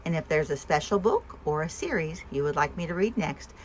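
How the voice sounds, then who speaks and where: A woman reading a picture book aloud for young children with expression, pausing to ask the listeners simple questions. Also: low voice, lively intonation, a little hiss or hum, poor audio. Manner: asks listeners simple questions between story lines. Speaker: storyteller and host of a read-aloud show.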